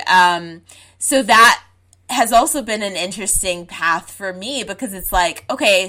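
A woman's voice: mid-range voice, sharp tone. Emphatic young woman born in Zimbabwe. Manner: emphatic, frank, repeats "like". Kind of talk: casual conversation.

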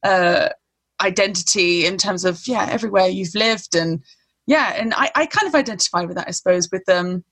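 A woman's voice: on the low side, normal speed, fairly bright tone. Chatty British woman hosting. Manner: chatty, swears casually. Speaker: podcast host. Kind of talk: casual conversation.

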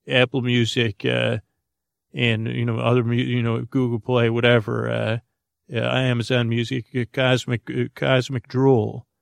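A man hosting a bedtime podcast with a creaky voice, rambling in a halting, goofy, improvised monologue that meanders into tangents. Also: medium pitch, no echo, studio quality. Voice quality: gravelly, creaky. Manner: goofy, whimsical. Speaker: podcast host.

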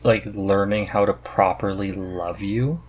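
A man's voice: on the low side, fairly even intonation, unhurried, darkish tone, slightly rough. A man hosting a casual podcast conversation, speaking in an earnest, enthusiastic way. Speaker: podcast host.

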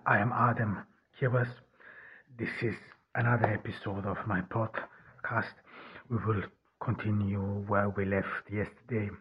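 A man from Turkey hosting his own podcast, talking alone in a halting way with many restarts and fillers. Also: fairly low pitch, husky, narrow, tinny audio. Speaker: podcast host. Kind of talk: casual solo podcast talk.

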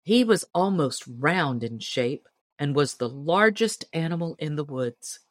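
A woman narrating a storybook tall tale in a measured, expressive storytelling manner. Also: sits low, dry recording, clean studio sound. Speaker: audiobook reader and storyteller.

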